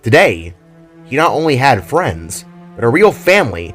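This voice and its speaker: A man's voice: mid-range voice, big pitch swings, moderate pace, fairly bright tone. A man narrating a scripted dramatic story in a theatrical, storybook manner. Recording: music under the voice. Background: background music.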